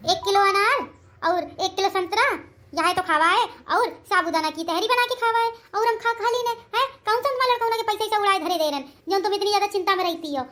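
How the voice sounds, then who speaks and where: A woman voicing sharp-tongued, combative village women in a comic cartoon, with an Awadhi Hindi accent. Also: extremely high pitch, exaggerated voice. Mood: indignant, stubborn. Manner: scolding, combative. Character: sharp-tongued village wife and her scolding mother-in-law, cartoon.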